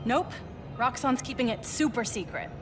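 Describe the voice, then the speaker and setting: A woman plays a teenage science whiz in a cinematic game. Her voice is slightly high, with a playful, wry and casual delivery between friends. Music plays clearly behind her. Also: lively intonation, normal speed, lightly acted. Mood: wry, teasing. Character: bright, teasing teenage girl science whiz. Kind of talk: naturalistic video game acting.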